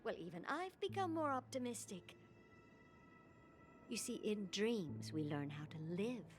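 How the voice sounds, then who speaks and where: A woman voicing a kindly older female caretaker of orphans in a game, speaking in a gentle, earnest, reflective way. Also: medium pitch, sing-song, measured pace, slight background noise. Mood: hopeful, reassuring. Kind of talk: voiced game dialogue. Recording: music behind the voice. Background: game music.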